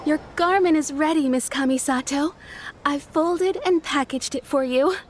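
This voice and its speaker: A woman's voice: sits high, moderate pace, faint music behind, lightly acted. A woman voicing a polite, deferential female tailor in a game.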